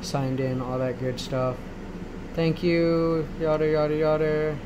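Man rambling casually over clear background noise. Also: on the high side, slow pace. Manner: rambling, checks in with frequent "right?". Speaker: tutorial host. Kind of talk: casual software tutorial talk. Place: indoors in a room at home. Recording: noisy amateur home recording. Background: clear steady background noise.

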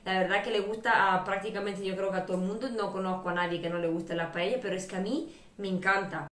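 A woman's voice: mid-range voice, steady tone, fast, a bit bright. A woman in her thirties from Bulgaria chatting casually and enthusiastically to camera as a vlogger. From Sofia, Bulgaria. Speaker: vlogger, channel host. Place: small bare-walled room indoors. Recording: roomy echo, distant microphone.

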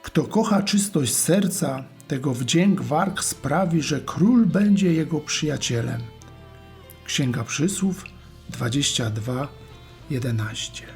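A man doing a scripted reading of Bible verses in a measured, solemn way. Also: a little high, expressive, gravelly, a little mellow, faint music behind. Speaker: reader of Bible verses and titles.

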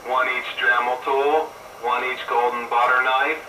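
A man's voice: medium pitch, level tone, a little slow. A man chatting casually as a radio hobbyist, heard over a two-way radio. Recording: very noisy, distant, echoey CB radio transmission.

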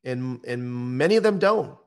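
American male podcast guest, middle-aged, mid-range pitch, matter-of-fact and conversational. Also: expressive, moderate pace, clean tone. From United States. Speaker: podcast guest, true-crime interviewer and author. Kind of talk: conversational interview talk. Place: indoor room, on a video call. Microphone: microphone on boom arm.

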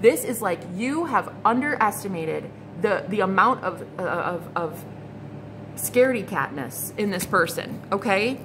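A woman's voice: mid-range voice, expressive, a little slow, over music. A woman in her thirties doing a casual tarot reading to camera, blunt and exasperated, swearing freely and repeating herself. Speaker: psychic tarot reader. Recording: noticeable background noise.

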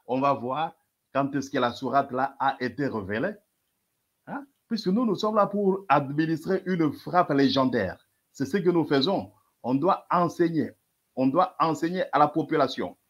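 A man's voice: on the high side, expressive, measured pace, fairly bright tone. A man commenting sarcastically on a replayed religious debate, repeatedly urging his listeners to follow along. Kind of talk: mocking commentary over replayed clips.

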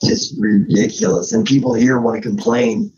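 A man's voice: medium pitch, sing-song, moderate pace, gravelly, crowd noise. Casting: American male podcast guest, mid-range voice, casual emphatic conversation, slightly lo-fi, muffled recording. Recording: slightly dull, lo-fi audio.

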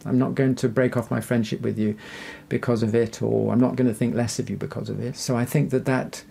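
Guy expert in an interview, mellow husky voice, explains stuff gently. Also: mid-range voice, level tone, a little fast. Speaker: psychiatrist, interview guest.

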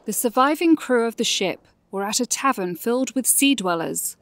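A woman's voice: a little high, lively intonation, normal speed, no room sound. A woman acting as storybook narrator of a scripted fantasy audio drama. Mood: narrating.

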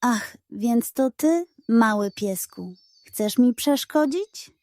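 A woman's voice: on the high side, expressive, slow pace, mellow, dry recording, clean studio sound. A woman voicing a wicked, blustering male liquorice monster sorcerer with a pompous, menacing manner.

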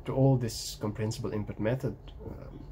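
A young man with an Egyptian accent, whose first language is Egyptian Arabic, talks to camera in a home vlog, pausing often with ums and restarts. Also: fairly low pitch, steady tone, mellow, a little hiss or hum. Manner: hesitant, many ums and restarts, gestures while talking. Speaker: language-learning vlogger. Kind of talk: casual talking-head vlog. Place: small room at home by a window.

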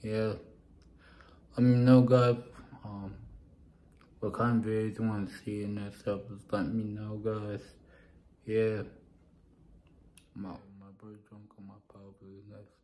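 A young man records a casual vlog on a phone held at arm's length in a small living room. He talks slowly in a lowish voice and rambles, circling back to the same filler phrases. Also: flat delivery, warm tone, low-quality recording. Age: young adult. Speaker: vlogger. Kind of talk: casual vlog talk to camera. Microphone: phone camera at arm's length.

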